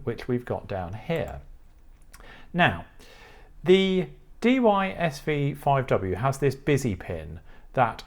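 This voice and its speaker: A man presenting a technical tutorial, explaining each step in a methodical, instructive way. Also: mid-range voice.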